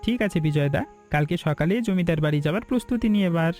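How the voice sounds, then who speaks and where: A man's voice: fairly high pitch, lively intonation, fast talker, a little mellow, soft background music, close to an everyday voice. A man playing a loyal, eager hotel assistant in a cartoon, deferential and earnest. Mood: agreeable. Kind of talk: Bengali moral-story cartoon dialogue.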